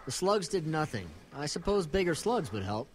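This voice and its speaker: A man's voice: a little high, very animated, moderate pace. A man acting a practical, gung-ho local outdoorsman on a monster hunt in a horror audio drama, matter-of-fact in manner.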